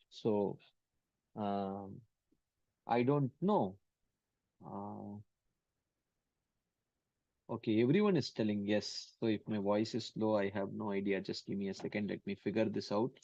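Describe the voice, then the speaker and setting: A man leading an online scripture study class. He chants verses in Sanskrit, then explains them in English in a halting way, with many fillers and restarts. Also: fairly low pitch, vocal fry, dark tone, dry recording. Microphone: computer mic on an online call. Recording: dull, dry lo-fi call audio.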